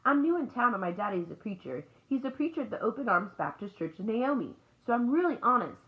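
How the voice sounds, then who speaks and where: A woman's voice: fairly high pitch, big pitch swings, brisk, fairly bright tone, voice pushed up, rough recording. A woman voicing an earnest young girl in a storybook, eager, polite and persuasive. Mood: eager, pleading.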